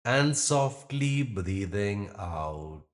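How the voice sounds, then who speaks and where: A man with a low-pitched voice leads a guided relaxation. He delivers each instruction gently and very slowly, leaving generous space between phrases in the manner of a yoga nidra teacher. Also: animated. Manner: gentle, unhurried instruction with long pauses. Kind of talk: guided meditation.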